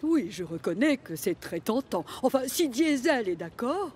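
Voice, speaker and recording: A woman's voice: fairly high pitch, big pitch swings, moderate pace, a little mellow, a little hiss or hum. A woman voicing a hardworking, polite but firm female diesel engine in a children's cartoon, speaking earnestly and expressively. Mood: wistful, tempted. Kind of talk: animated children's cartoon dialogue.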